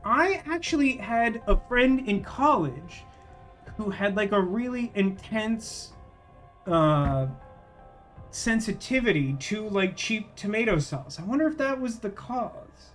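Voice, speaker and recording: Guy streaming a game, pretty high voice, wry rambly thinking out loud to chat, bit of music behind. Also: expressive, takes it slowly. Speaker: livestreamer playing a game. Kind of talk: casual livestream game commentary with chat.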